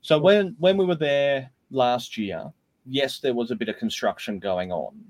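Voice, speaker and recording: A man's voice: mid-range voice, animated, measured pace, dry recording. A man hosting a casual podcast, joking around and hesitating with lots of fillers. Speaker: podcast host.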